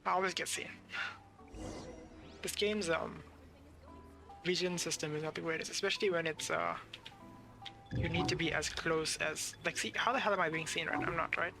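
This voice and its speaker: A male streamer gives casual, grumbling gameplay commentary, half to himself. His voice sits fairly high with plenty of fry, and game music plays clearly behind him. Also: steady tone, moderate pace, long pauses.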